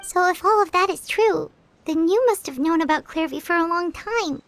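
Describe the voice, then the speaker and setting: A woman voicing a tiny, earnest, excitable childlike fairy companion in a cartoonish game style, squeaky, over music. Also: normal speed, no echo. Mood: curious. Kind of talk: cartoonish video game voice acting. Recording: music behind the voice.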